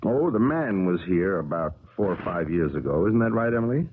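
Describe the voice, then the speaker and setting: A man's voice: deep voice, lively intonation, brisk, slightly rough. An actor playing an affable, chatty neighbor man in a 1970s radio drama, with a genial, folksy manner. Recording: crackly, muffled 1970s radio recording.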